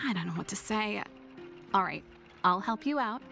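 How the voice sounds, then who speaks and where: An actress voicing a laid-back, warm-hearted elder sister in a video game, with a casual, sighing delivery. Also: female voice, medium pitch, animated, measured pace, creaky, faint music behind, exaggerated voice. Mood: resigned, fond.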